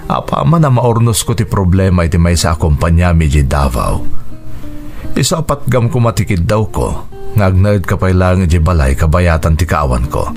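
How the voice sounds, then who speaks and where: A man's voice: low voice, a little mellow. An actor with an Ilocano accent playing an earnest grown son and father in a Philippine radio drama. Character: earnest adult son, widowed father planning to remarry.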